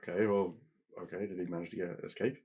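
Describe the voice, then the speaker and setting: Deep husky guy in a casual chat, kinda hesitant, thinking out loud. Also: steady tone, fast, dull audio. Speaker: podcast co-host. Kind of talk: casual chat, reacting and questioning.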